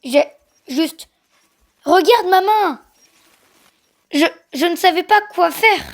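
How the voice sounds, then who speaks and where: Small jittery cartoon jester female performer, extremely high voice, hesitant, flustered delivery, amateur dub. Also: slow pace, exaggerated voice. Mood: guilty, hesitant.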